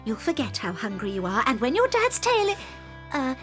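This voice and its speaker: Very high-voiced, tender mother fox. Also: huge pitch swings, normal speed, faint music behind. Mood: soothing. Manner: soothing, fretful. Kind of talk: dramatised children's audiobook acting.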